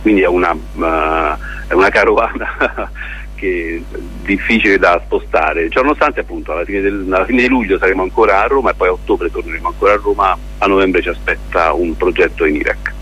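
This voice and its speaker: A man answering as a theatre director in a radio interview, explaining in a halting, deliberate way with pauses and repeated words. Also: low-pitched, fairly bright tone. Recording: muffled, lo-fi radio interview with noise.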